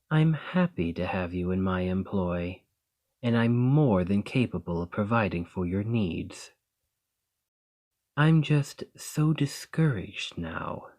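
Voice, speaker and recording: A proud snake-bodied noble, formal and wry, speaking slow and low, as a man plays him. His words come unhurried and carefully measured, each phrase weighed before it is spoken. The voice sits close to the mic, as in an ASMR roleplay. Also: a little mellow. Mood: discouraged. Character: proud male lamia noble, discouraged and stiff.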